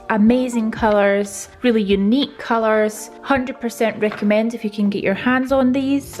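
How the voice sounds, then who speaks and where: A woman with a fairly high voice speaks in a casual, chatty vlog style. She is expressive and playful, often talking to herself as she goes. Music plays faintly underneath. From Estonia. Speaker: vlogger, nail technician. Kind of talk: casual chatty vlog voice-over while tidying.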